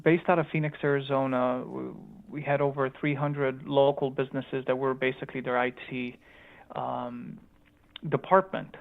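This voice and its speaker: A man's voice: mid-range voice, level tone, moderate pace, gravelly. A man born in Romania and raised in Chicago, with a slight Romanian accent mixed with a Chicago one, answering interview questions casually and hesitantly, with many ums and restarts. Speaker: startup founder guest. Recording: tinny phone-line sound.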